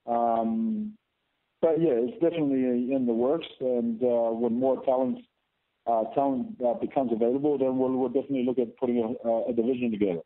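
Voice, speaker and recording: A man's voice: mid-range voice, steady tone, fairly quick. A male fight promoter answering press questions over a phone line, hesitant and stumbling. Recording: tinny, narrow phone line.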